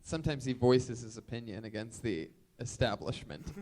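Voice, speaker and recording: A man hosting a comedy show, teasing his guests in excitable banter. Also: mid-range voice, normal speed, a crowd in the background. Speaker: podcast host.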